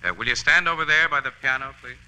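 A man's voice: medium pitch, fast talker, sharp tone, poor audio. An American man acting a brash, slangy newspaper photographer in an old-time radio crime drama. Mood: smooth, polite. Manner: slangy, casual.

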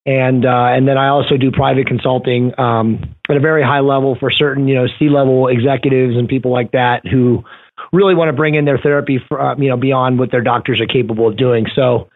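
A man's voice: medium pitch, fairly even intonation, brisk. A man in his forties, a podcast guest talking casually and rambling with many fillers and restarts. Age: 40s. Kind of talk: casual interview talk. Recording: tinny phone line.

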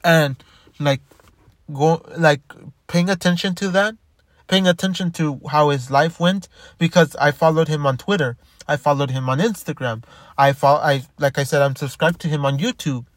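A man's voice: fairly high pitch, moderate pace, no echo. A man talking casually in a rambling monologue, restarting and repeating his words often. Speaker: commentator.

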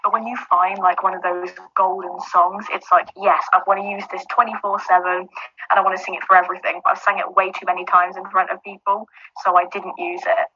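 A woman who is a finalist guest with a low alto voice, chatting confidently. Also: little pitch movement, fairly quick, pushed and bright, breathy edge, highs cut off. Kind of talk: casual chat.

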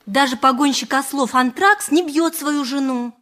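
An actress playing a simple, thoughtful milliner in a radio play, narrating and talking in an ingenuous, musing way. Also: female voice, high-pitched, normal speed, fairly bright tone. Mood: coy, insistent.

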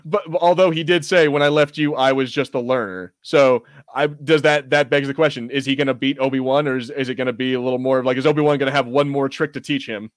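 A man's voice: medium pitch, fairly even intonation, fast talker, few pauses, dry recording, studio quality. A man hosting a podcast, chatting casually about fandom in an enthusiastic, rambling, jokey way. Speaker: podcast host. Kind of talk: casual fan discussion.

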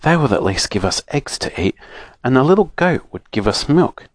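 Worried mother, children's tale, male reader performing: fretful, scolding, expressive pitch. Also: moderate pace, dry recording, studio quality. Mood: wishful, worried.

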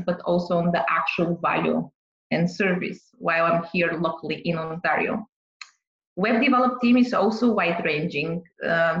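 A woman's voice: lowish voice, reverberant, muffled recording. A woman from Bulgaria, a business owner, answering podcast interview questions carefully, with light laughs.

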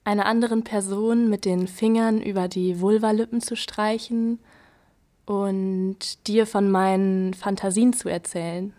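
A woman performing a scripted spoken-word monologue, measured and listing one phrase after another. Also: mid-range voice, fairly even intonation, dark tone. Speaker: performer in an educational audio play.

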